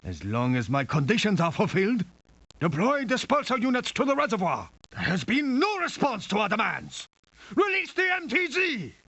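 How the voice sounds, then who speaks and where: An actor playing a coldly menacing crime lord in a video game, pompous, formal and deliberate, on a dull lo-fi sample. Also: male voice, high-pitched, huge pitch swings, a bit bright, no room sound, full character voice. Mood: smooth, menacing, then commanding. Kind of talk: theatrical video game villain acting.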